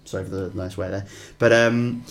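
A man's voice: low-pitched, fairly even intonation, moderate pace. A young Welsh man talking casually as a guest musician, rambling with lots of fillers and restarts. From Wales. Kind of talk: casual interview chat.

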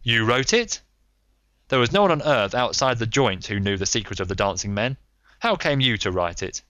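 A man's voice: mid-range voice, animated, normal speed, fairly bright tone, dry recording, natural voice. Man voicing a fierce American outlaw. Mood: bitter. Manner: fierce, pleading. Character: desperate, hot-tempered American outlaw man. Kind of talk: audiobook character voice.

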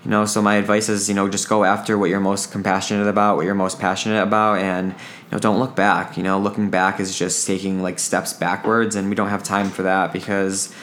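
A man's voice: low-pitched, little pitch movement, a little fast, fairly bright tone, a little hiss or hum. A man in his twenties talking in an earnest, reflective way on a casual podcast, often repeating his phrases. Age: 20s.

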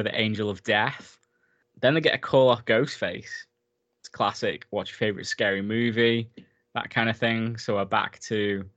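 A man's voice: fairly low pitch, fairly bright tone, muffled recording. A man in a casual film recap chat, enthusiastic and talkative, laughing often as he goes. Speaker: podcast co-host.

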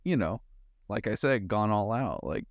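A man's voice: fairly low pitch, lively intonation, moderate pace, no echo. Casual man chatting, close dry sound. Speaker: podcast co-host. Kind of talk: casual movie discussion chat.

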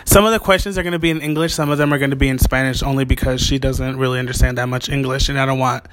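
A man's voice: mid-range voice, fairly even intonation, quick pace. A man in his twenties chatting casually as host of an amateur show, switching between English and Spanish with playful, foul-mouthed teasing. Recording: noisy amateur home recording.

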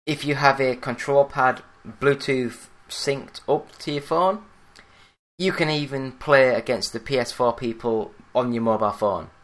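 Man, Yorkshire accent, monotone delivery, mid-pitched voice, solo podcast host. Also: unhurried. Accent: Yorkshire English. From Yorkshire, England. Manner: monotone, plain-spoken. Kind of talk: solo gaming news podcast.

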